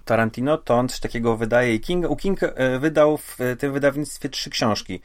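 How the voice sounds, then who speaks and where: A man's voice: medium pitch, moderate pace. A man with a Polish accent co-hosting a podcast, chatting informally in stop-and-start phrases. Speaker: podcast co-host. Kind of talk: casual chat about games and comics.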